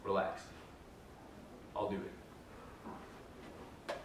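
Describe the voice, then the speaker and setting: A man playing a bitter, commanding elephant trainer with grim duty, intense and emphatic in a stage drama. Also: very slow. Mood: grim, resigned. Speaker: actor in a student readers theater group. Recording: very echoey hall, distant mic, faint noise.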